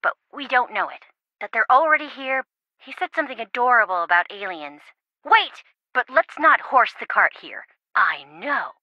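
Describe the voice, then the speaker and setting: An actress playing a bubbly, scatterbrained young best friend in a video game, chatty, playful and melodramatic, in band-limited audio. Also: female voice, fairly high pitch, very animated, moderate pace, no echo, muffled recording. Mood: playful, excited. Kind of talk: video game phone-call dialogue.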